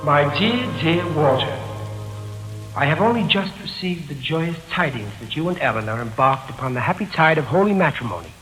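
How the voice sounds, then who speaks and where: An actor playing a burly, genial crook who speaks florid, over-formal English in a 1940s radio drama. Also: male voice, a little high, expressive, moderate pace, fairly bright tone, faint music behind. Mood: grandly genial. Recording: noisy 1940s radio recording.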